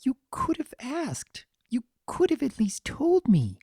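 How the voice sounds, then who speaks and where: Woman voicing a high-pitched, gentle, anxious young pony. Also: wildly swooping pitch, slow, breathy edge, no echo, studio quality, full character voice. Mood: hurt, pleading. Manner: soft, earnest, fretful.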